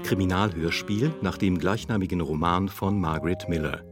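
Male announcer, deep register, scripted credits, music bed. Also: normal speed.

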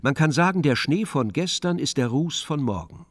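An actor in a radio drama delivering a scripted monologue as a measured, sardonic commentator. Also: male voice, a little high, expressive, no room sound, clean studio sound. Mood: dry, sardonic. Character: dry, sardonic commentator on the theatre.

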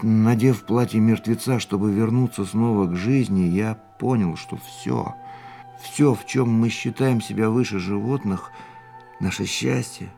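A world-weary man, speaks in measured, reflective phrases. He is a racing driver haunted by death, in a literary audio drama. His voice has a middle pitch, and music plays behind it. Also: vocal fry, a little mellow. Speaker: actor in a radio play. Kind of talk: literary radio drama acting, monologue and dialogue.

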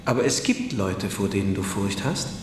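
A calm, knowing older schoolboy in a radio drama, persuasive and a little mysterious. His intonation moves widely, in an echoey room with faint noise. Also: lowish voice. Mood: intriguing, persuasive. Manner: deliberate, persuasive, quietly superior. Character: self-assured, precocious older schoolboy, calm, probing and mysterious. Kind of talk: radio play acting.

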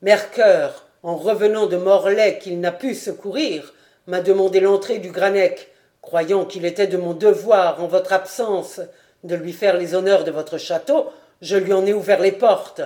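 Woman narrator voicing a dignified lieutenant defending his honour, firm and loud. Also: lowish voice, steady tone, a little slow, natural voice. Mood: composed. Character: steadfast male lieutenant, cool then indignant.

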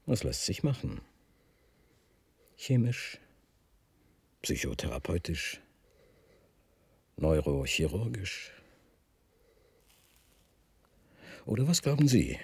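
A man acting an unsettled, condescending professor in a German radio drama, speaking haltingly and deliberately with a husky voice. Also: low-pitched, big pitch swings, a little mellow, dry recording, exaggerated voice. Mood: patronizing. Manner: halting, deliberate, stammers when agitated. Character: unsettled, condescending professor of physics, slipping into confusion. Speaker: radio play actor.

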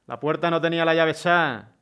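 A man's voice: on the high side, a bit bright, clean tone, lo-fi sound. A man playing a sardonic, dignified mayor in a historical audio drama, ironic and polite.

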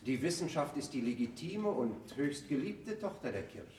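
A man acting a suave, authoritative cardinal in a German radio drama, speaking in formal, measured declamation. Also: fairly high pitch, sing-song, a little mellow. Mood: smooth, admonishing. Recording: roomy, distant radio play recording.

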